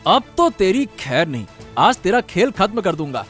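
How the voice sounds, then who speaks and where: A man acts out a righteous village uncle for a Hindi cartoon. His voice sits fairly high and swings widely in pitch, protective and indignant, with music behind it. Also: big pitch swings, normal speed, fairly bright tone. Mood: angry, threatening. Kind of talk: Hindi cartoon story dialogue.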